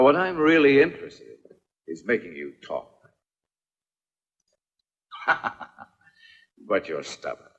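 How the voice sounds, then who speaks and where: An actor playing a cruel, mocking villain in a dubbed historical adventure film, speaking in a menacing, theatrical way. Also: male voice, medium pitch. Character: cruel, mocking male villain who threatens prisoners. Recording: dull dubbed film soundtrack with music.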